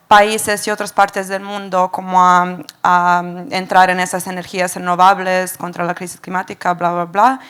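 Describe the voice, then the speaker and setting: Serbian woman with a clear, level voice. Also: mid-range voice, a bit bright. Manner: fluent but non-native, indignant, wry asides. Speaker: audience member asking a question. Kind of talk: spontaneous public question at a panel.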